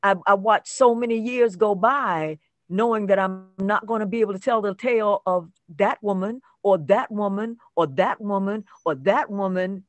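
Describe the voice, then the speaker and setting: An older woman, an actress being interviewed on a video call, reflecting conversationally, halting and repeating words for emphasis. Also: medium pitch, dry recording. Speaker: actress guest.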